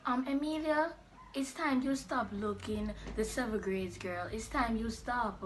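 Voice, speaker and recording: A woman's voice: a little high, a little slow, slight background noise. A young woman with a Jamaican accent, talking casually and making prank calls with friends. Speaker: prank caller. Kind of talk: casual chat and prank calling.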